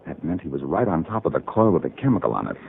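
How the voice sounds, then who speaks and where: A man playing an earnest, astonished sidekick with a gravelly voice in a 1950s radio drama. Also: deep voice, sing-song, quick pace, exaggerated voice. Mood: amazed, earnest. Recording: noisy, tinny old radio broadcast.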